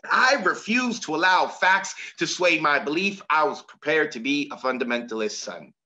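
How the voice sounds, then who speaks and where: Bright-toned spoken-word poet, dramatic. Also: male voice, expressive, normal speed. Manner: rhythmic, dramatic delivery with sudden swings in pitch and volume. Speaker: performance poet. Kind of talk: spoken-word poetry performance. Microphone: video call.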